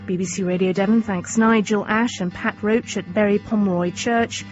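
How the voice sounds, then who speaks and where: A woman's voice: mid-range voice, steady tone, moderate pace. A woman reading scripted closing credits as a radio drama announcer. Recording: slightly muffled over guitar music. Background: plucked guitar music.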